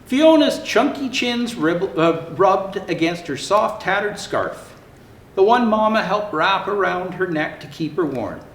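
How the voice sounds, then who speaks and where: A man reading a children's picture book aloud in an expressive, theatrical way with drawn-out emphasis. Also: high-pitched. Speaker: storyteller dressed as Santa Claus. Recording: roomy echo, faint background noise.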